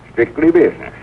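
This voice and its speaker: An actor playing a kindly older small-town storekeeper with a rural Southern drawl, in 1940s film style. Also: male voice, slight background noise, lightly acted. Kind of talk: 1940s film comedy-drama acting. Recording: tinny, distant 1940s film soundtrack, music behind.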